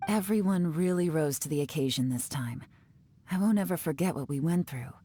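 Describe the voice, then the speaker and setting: A woman playing a cool, teasing, secretive investigator in a video game, measured and sly. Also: low voice, dark tone, a little hiss or hum, lightly acted. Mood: musing, composed.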